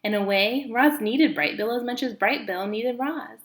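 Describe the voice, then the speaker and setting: A woman reading a children's book aloud as an expressive storyteller, recorded in an echoey room. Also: a little high, normal speed.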